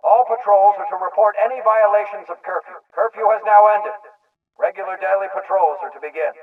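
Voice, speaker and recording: Tinny, distant stern military announcer. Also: female voice, medium pitch. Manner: formal, impersonal, authoritative. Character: stern female military announcer issuing orders. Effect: loudspeaker filter. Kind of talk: scripted loudspeaker announcements. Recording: tinny, band-limited distant PA speaker.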